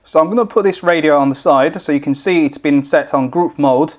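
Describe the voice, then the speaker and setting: A man's voice: on the high side, brisk. A man presenting an informal product tutorial, explaining each step in a plain, instructional way.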